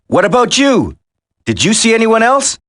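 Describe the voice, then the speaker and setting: An earnest special forces operative in a video game. His voice sits high and swings widely in pitch, recorded very dry and close. He stays steady and emphatic. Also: moderate pace, no room sound, heavily acted. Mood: serious. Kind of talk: dramatic video game voice acting.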